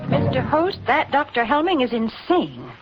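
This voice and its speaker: Woman doing an old 40s radio ad, chatty polished sales talk, higher voice, muffled recording. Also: huge pitch swings, normal speed, over music. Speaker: commercial announcer. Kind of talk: 1940s radio sponsor pitch.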